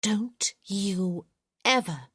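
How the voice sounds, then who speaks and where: A woman voicing a proud, sharp-tongued young noblewoman in an audiobook, her speech haughty, clipped and cutting. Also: mid-range voice, lively intonation, normal speed, slightly rough, dry recording, clean studio sound, pitched above the performer's own voice. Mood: furious. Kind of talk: audiobook character voice.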